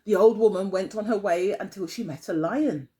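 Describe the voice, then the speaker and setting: A woman's voice: medium pitch, moderate pace, warm tone, a little hiss or hum. A woman teacher telling a story expressively to young children, phrasing carefully and putting questions to them. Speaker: early years teacher, storyteller.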